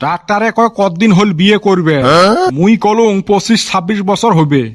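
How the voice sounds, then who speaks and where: A man's voice: sits high, normal speed, lightly acted. A man with a northern Bangladeshi Rangpuri accent playing a grumbling, sarcastic, hard-up village tea seller in a comedy cartoon. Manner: complaining, sarcastic. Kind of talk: comedy cartoon voice acting in rural dialect.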